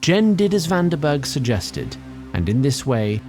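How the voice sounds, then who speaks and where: A man narrating a scripted fantasy audio drama in a formal, deliberate way, addressing the listener. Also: mid-range voice, sing-song, darkish tone, faint music behind.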